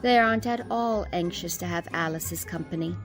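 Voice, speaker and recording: A woman's voice: lowish voice, normal speed, faint music behind, lightly acted. A woman reading scripted storybook narration for a radio play.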